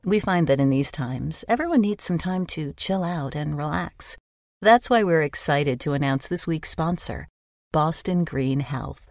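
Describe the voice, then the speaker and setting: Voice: mid-range voice, expressive, a little slow, darkish tone, no room sound, clean studio sound, soft background music. Podcast host, scripted sponsor read, addressing listeners on behalf of the show.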